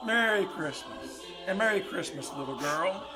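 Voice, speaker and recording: A man's voice: high-pitched, expressive, normal speed, poor audio. A man playing a kindly street figure in old-time radio drama, benevolent and avuncular like a sidewalk Santa. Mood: jolly, gentle. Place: live on a small-town theater stage. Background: loud music.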